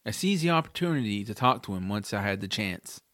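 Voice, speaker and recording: A man's voice: lowish voice, lively intonation, brisk, a bit bright, no echo. Dry-recorded man narrating a fantasy story. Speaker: audiobook narrator. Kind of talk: scripted first-person fantasy storytelling with acted dialogue.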